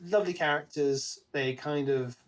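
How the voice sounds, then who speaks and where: A man's voice: fairly high pitch, moderate pace. A man co-hosting a podcast, chatting casually about a book and thinking aloud as he goes. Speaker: podcast co-host.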